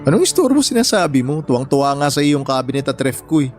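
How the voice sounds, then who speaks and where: An actor playing an easygoing, helpful young bachelor in a radio drama, with casual, conversational delivery. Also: male voice, mid-range voice, big pitch swings, fast talker, lightly acted. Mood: teasing, reassuring. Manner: casual, teasing, reassuring. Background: music bed.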